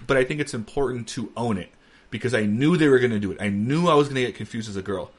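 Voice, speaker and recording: A man's voice: fast talker, slightly rough. A man in his thirties hosting a podcast, chatting casually and acting out quoted lines as he tells stories. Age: 30s. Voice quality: deep voice with a beard. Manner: animated storyteller who acts out quotes. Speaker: podcast host. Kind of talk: casual chat.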